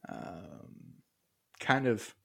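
A man with a fairly low voice talks in a loose, rambling way, thinking out loud. He pauses on fillers and restarts his sentences while working through his notes. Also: very animated, creaky, husky. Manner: muses aloud while reading from notes. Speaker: podcast co-host. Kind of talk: casual podcast chat.

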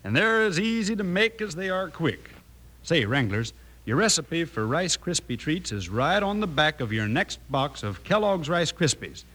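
An enthusiastic cowpoke salesman in a 1950s radio commercial. His fairly high voice swings widely, with a folksy, friendly sales patter. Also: big pitch swings, normal speed, a bit bright. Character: folksy male cowboy pitchman, enthusiastic and friendly.

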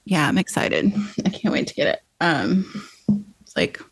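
Woman podcast host, chatty and rambling, lowish with vocal fry, dry sound. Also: sing-song, normal speed, no echo. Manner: chatty, rambling, many "like"s and restarts. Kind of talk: casual interview chat.

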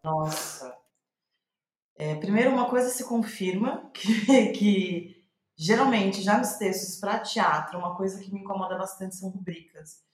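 A woman's voice: medium pitch, measured pace. A Brazilian woman giving reflective interview answers as a playwright, thinking aloud and searching for her words. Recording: roomy, echoing sound.

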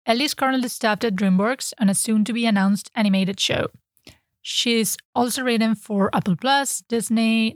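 A woman hosting an interview podcast, reading a scripted intro and asking questions in a measured way. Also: medium pitch, no echo, studio quality. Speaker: podcast host.

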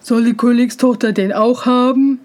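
A woman's voice: on the high side, steady tone, normal speed, darkish tone, natural voice. A woman voicing a conceited, condescending young elder brother in a storybook fairy tale, pompous and mocking.

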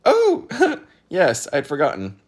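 A man voicing a proud, absent-minded ordinary fellow who talks brightly and eagerly. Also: fairly high pitch, wildly swooping pitch, slow pace, voice pushed up, exaggerated voice. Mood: delighted, flustered. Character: chirpy, absent-minded ordinary man posing as four oddities.

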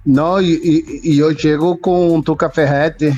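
A man's voice: fairly high pitch, steady tone, unhurried, darkish tone. A Brazilian man, a native Portuguese speaker, casually reminiscing as an interview guest and mixing two languages. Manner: mixes Portuguese and Spanish. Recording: dull lo-fi audio, music behind.

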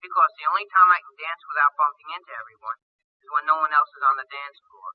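An actor playing a droll, laid-back teenage wisecracker with a deadpan manner in a 1940s radio comedy, dull and distant on an old broadcast. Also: male voice, fairly high pitch, quick pace, dry recording, close to an everyday voice.

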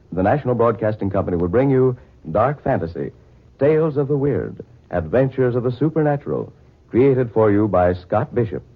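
A man's voice: mid-range voice, breathy edge. An American man announcing formally from a script in 1940s radio style. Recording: tinny band-limited 1940s radio recording.

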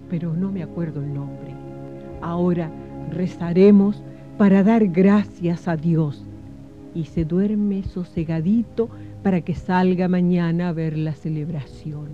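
A woman's voice: on the low side, close to an everyday voice. An actress playing a joyful, devout mother soothing her young son at bedtime in a historical radio drama. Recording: muffled radio drama recording with music.

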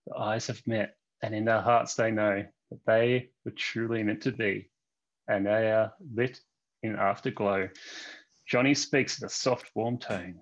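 A man's voice: on the low side, fairly even intonation, a little slow, highs cut off. A man reading comic fan fiction verse aloud like a mock-romantic storyteller. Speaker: podcast co-host.

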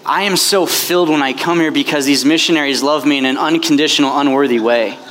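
A man's voice: a little high, fast, fairly bright tone. A man preaching as a priest to a retreat crowd, impassioned and chatty, with many fillers. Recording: long hall reverb, faint background noise.

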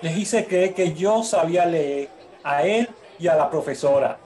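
Worried, naive young man, highish voice male actor. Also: a little slow, dark tone, close to an everyday voice. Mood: anxious, confessing. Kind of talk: Spanish-language radio soap acting.

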